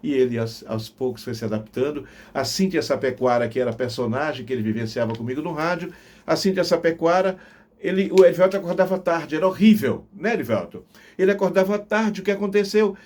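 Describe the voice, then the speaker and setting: A man's voice: a little high, brisk, darkish tone. A middle-aged man, reminiscing to viewers like a veteran radio announcer, with emphatic, theatrical storytelling. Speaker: veteran radio announcer telling career memories on his channel.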